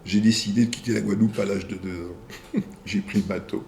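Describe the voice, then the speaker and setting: A man hosting a video channel. He reads viewer messages aloud and answers them in a deliberate way, in short phrases with pauses. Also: on the low side, fairly even intonation, creaky, a little mellow, slight background noise.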